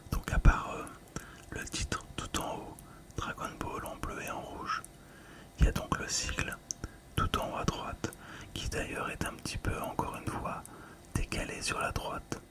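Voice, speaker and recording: A man speaking in a breathy ASMR whisper, gently describing things in an unhurried, slightly hesitant way. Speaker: ASMR video creator.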